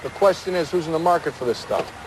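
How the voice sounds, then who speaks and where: An actor playing an insistent police detective in a crime audio drama, brisk and emphatic. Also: male voice, fairly high pitch, animated, a little hiss or hum, natural voice, low-quality recording. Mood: shrewd. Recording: music behind the voice.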